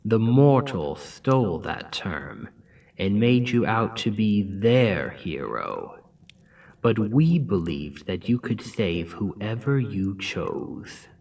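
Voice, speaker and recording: A scheming, seductive demon king, played by a man speaks slowly and in a low voice, his tone rising and falling with lively swings. He often drops into a close, intimate whisper, as in an ASMR roleplay. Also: vocal fry, dry recording, heavily acted. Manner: slow, deliberate, often whispered.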